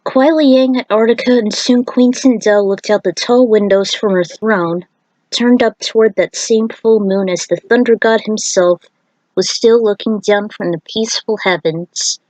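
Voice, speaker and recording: A woman's voice: medium pitch, normal speed, a little mellow, no room sound, poor audio. A woman reading scripted narration as the author and narrator of her own fan fiction series. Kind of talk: scripted narration with casual outro remarks.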